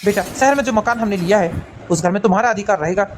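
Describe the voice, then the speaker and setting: A woman's voice: mid-range voice, fast, background noise, pitched below the performer's own voice, lightly acted. A woman voicing a grave, hurt elderly father and family head in a cartoon drama, speaking solemnly and decisively. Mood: grave, resolute.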